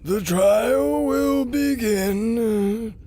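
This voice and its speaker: A woman playing an imperious mole royal judge of an underground court in an adventure audio drama, with drawn-out, halting commands. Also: a little high, warm tone, dry recording.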